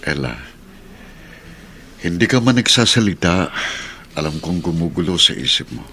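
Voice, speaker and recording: A man with a Filipino accent playing a kindly, selfless uncle in a radio drama, gently and sincerely reassuring. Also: deep voice, big pitch swings, moderate pace, vocal fry, slight background noise, exaggerated voice. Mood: gentle, reassuring. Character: kindly, selfless middle-aged uncle and guardian.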